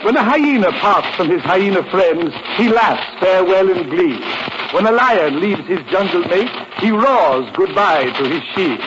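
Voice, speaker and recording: An actor playing a pompous ham poet who declaims silly rhymes in a theatrical manner in a 1940s radio comedy. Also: male voice, sits high, background noise. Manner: theatrical, declamatory rhyming delivery. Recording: dull lo-fi 1940s radio recording.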